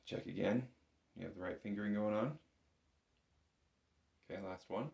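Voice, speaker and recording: A man's voice: lowish voice, normal speed, vocal fry, noisy background. A man giving a step-by-step music lesson as an instructor, talking in an informal, patient, encouraging way. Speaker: bagpipe instructor. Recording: roomy, echoing room sound.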